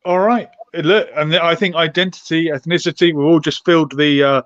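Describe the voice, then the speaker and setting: A man's voice: on the high side, steady tone, moderate pace, a bit bright, no room sound. A man hosting a live discussion, asking questions in a conversational interviewing style through a dull, muffled microphone. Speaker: host of a live online discussion.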